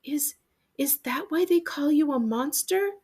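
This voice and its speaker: A woman's voice: high-pitched, a little slow, a little mellow, voice pushed up. A woman voicing a polite, curious young niece in a storybook, earnest, tentative and full of wonder. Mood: hesitant.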